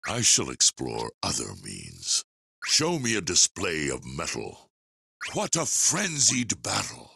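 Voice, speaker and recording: An actor playing a solemn, honourable armoured dark sorcerer in a video game, speaking gravely and formally with theatrical weight and a gravelly voice. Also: male voice, low-pitched, huge pitch swings, slow pace, dry recording, full character voice. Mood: commanding. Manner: formal, grave, archaic phrasing.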